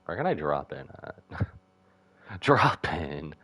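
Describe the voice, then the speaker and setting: A man giving casual live commentary as a game streamer, rambling and animated, with jokey asides. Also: a bass voice, slow pace, no room sound.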